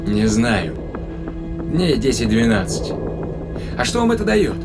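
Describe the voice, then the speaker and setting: A medium-pitched spaceman played by a man lays things out in a measured, practical way. It is science fiction audio drama, with loud music running behind the voice. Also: wildly swooping pitch. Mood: guarded. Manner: measured, explanatory. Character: matter-of-fact human astronaut explaining to an alien.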